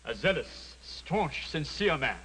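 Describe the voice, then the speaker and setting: An actor playing an obsequious, precise secretary flattering his lord, in period radio drama style. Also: a little high, takes it slowly, a little hiss or hum, full character voice, rough recording. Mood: smooth, obsequious. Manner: fawning, precise.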